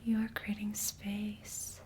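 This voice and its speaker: A woman speaking in a breathy whisper, giving slow, soothing ASMR relaxation guidance with gentle instructions. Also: monotone. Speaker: ASMR reiki practitioner. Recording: faint background noise with low rumble.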